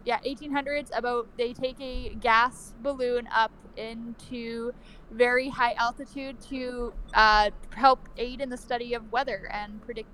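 A woman with a high voice chats animatedly on a podcast, often pausing on fillers. Faint background noise sits behind her. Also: steady tone, pushed and bright, rough recording. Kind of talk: casual chat.

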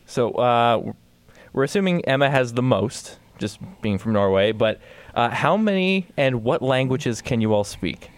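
A man co-hosting a sports radio show in a studio, chiming in with brief, relaxed asides. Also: mid-range voice, unhurried, no room sound. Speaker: radio co-host. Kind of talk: casual radio chat.

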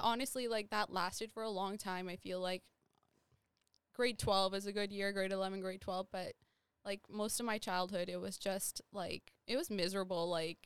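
A woman's voice: mid-range voice, a bit bright, smooth, no echo. A young woman from Nepal, a guest in a casual interview, rambling and full of "like" fillers.

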